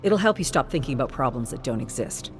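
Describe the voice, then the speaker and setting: A narrator acting a male crew member in a sci-fi drama. He talks in a dry, controlled way at a middle pitch, with music playing behind him. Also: lively intonation, fast talker, close to an everyday voice. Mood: curt, dismissive. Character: curt, dismissive male colleague in a space colony.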